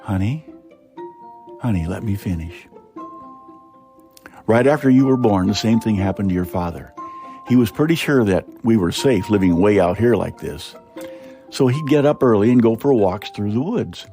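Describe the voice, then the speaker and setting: Storybook mother deer, acted by a man: grave, protective, low mellow delivery, faint music behind. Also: lively intonation, moderate pace, pauses often. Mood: urgent, tender. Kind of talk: scripted story reading.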